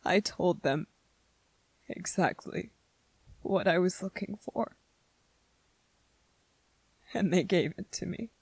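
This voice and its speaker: A gentle young soldier played by a woman speaks in a low, husky voice for an ASMR drama. He is anxious and full of self-doubt, halting between phrases at a slow pace. The sound is soft and wounded. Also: dry recording, exaggerated voice, rough recording. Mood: bitter, wounded. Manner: hesitant, halting, with repeated phrases and pauses. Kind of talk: scripted dramatic ASMR roleplay monologue.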